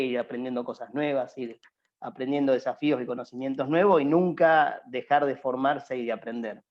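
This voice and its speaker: A man's voice: mid-range voice, lo-fi sound. A young man with an Argentine accent giving rambling, informal career advice to students as a workshop panelist over a video call, with many fillers and restarts. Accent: Argentine Spanish.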